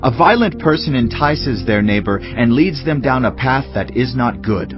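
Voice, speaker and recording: A man reads scripture aloud as a solemn, dramatised performance, pitched in the middle range for a male voice. His delivery is measured and deliberate. The recording is slightly dull, with music playing behind him. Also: lively intonation. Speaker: audio Bible reader. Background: clear background music.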